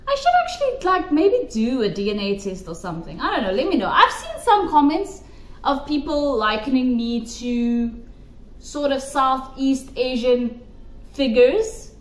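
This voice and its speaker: A woman's voice: sits high, very animated, normal speed. A woman giving emphatic, opinionated commentary to camera, repeating her points for stress. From South Africa. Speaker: pageant commentary vlogger. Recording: roomy echo.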